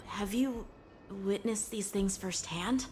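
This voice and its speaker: A woman's voice: medium pitch, slow, a little mellow, a little hiss or hum, close to an everyday voice. An actress playing an earnest, inquisitive young woman in a video game. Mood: curious. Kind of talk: dramatic video game voice acting.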